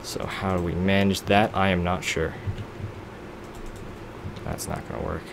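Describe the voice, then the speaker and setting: A man's voice: low voice, level tone, unhurried, noisy background. A young man casually narrating a block-building game, rambling and poking fun at himself while he works things out. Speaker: let's play gamer. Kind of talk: casual gameplay commentary.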